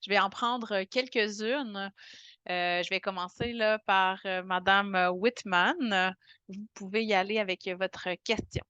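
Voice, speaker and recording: A woman moderating an online public information meeting, calling on people in a courteous, measured way with frequent hesitations. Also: mid-range voice, steady tone, pushed and bright, clear, resonant tone, no echo, dull audio.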